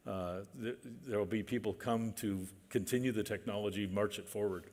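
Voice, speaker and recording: A man speaking as a manufacturing executive on a conference panel, measured and hesitant with many fillers. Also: on the low side, steady tone, darkish tone. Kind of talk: panel discussion. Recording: reverberant conference hall.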